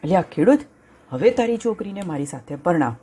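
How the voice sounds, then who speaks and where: A woman's voice: lowish voice, very animated, fairly quick, mellow, voice pushed up. A woman voicing a bossy hungry lion. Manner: gruff, commanding, impatient. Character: hungry bossy male lion, king of the forest.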